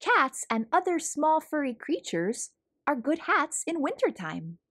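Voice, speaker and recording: A woman reading a children's picture book aloud as a storytelling host, in an expressive manner for young listeners. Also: sits high, measured pace. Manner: animated, clearly paced storytelling for young children.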